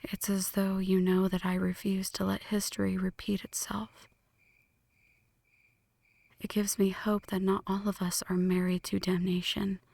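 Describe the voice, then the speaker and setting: A woman playing an ancient, lovestruck vampire in a slow, breathy whispered ASMR roleplay, intimate and solemn, close to the microphone. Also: medium pitch, monotone, a little mellow, no room sound. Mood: wistful, intense. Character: ancient flirty female vampire, brooding, lovestruck, world-weary. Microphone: close microphone, dry.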